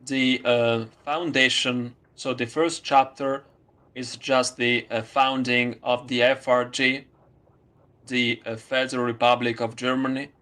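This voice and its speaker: Slow, halting Italian-accented man. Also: mid-range voice, level tone, sharp tone. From Italy. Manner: halting, many fillers and restarts. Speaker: student. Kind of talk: casual conversation.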